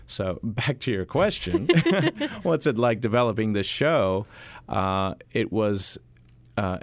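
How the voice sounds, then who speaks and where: A male stage actor answering interview questions, halting, with many fillers and restarts. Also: low-pitched, dry recording.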